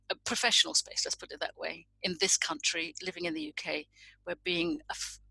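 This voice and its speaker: A woman's voice: lowish voice, gravelly, sharp tone, no room sound, low-quality recording. A middle-aged woman from Indonesia, a guest in a reflective conversation, speaking thoughtfully as she searches for her words.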